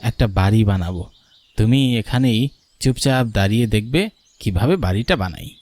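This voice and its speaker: A man's voice: lowish voice, brisk, a little mellow, a little hiss or hum, lightly acted. A man voicing a playful, coaxing young husband in a cartoon, in a teasing, cajoling manner. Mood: confident. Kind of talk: cartoon voice acting. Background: music and rain.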